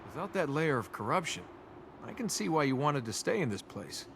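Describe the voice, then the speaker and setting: A man's voice: on the high side, sing-song, brisk. A man playing a cocky, flippant young adventurer in a video game, talking in a sardonic, conversational way. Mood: wry. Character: cocky, wisecracking young male adventurer, self-centred but good-humoured. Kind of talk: video game dialogue acting. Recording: noisy game ambience behind voice.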